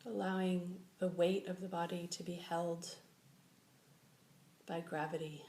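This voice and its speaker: A woman's voice: fairly low pitch, flat delivery. Woman guiding meditation in an echoey room. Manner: slow, gentle instruction with long pauses. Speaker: meditation teacher. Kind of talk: guided meditation. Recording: echoey room with faint background noise.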